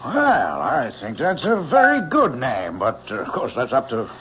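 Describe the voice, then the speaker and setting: An actor playing a genial, sales-minded book publisher in an old-time radio drama. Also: male voice, mid-range voice, wildly swooping pitch, moderate pace, dull audio, music in the background. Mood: breezy, agreeable. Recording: noisy band-limited old radio recording.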